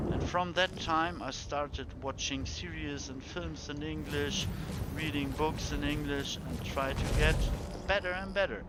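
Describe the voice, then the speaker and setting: A man's voice: a little high, very noisy. A man with a German accent, a native German speaker, streaming a game and chatting hesitantly, searching for words and sometimes dropping to a whisper. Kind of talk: live-stream gameplay chat. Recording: game sounds loud behind voice.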